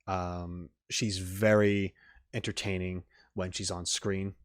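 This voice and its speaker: A man's voice: low voice, level tone, takes it slowly, clean tone, dry recording, clean studio sound. A man doing casual, off-the-cuff reaction commentary, rambling and repeating words as he thinks aloud. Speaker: YouTube reaction host.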